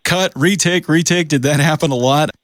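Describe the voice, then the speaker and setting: A man's voice: a little high, moderate pace, no echo, studio quality. A man in his forties with an American accent, hosting a casual radio interview. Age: 40s. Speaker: radio show host, interviewer.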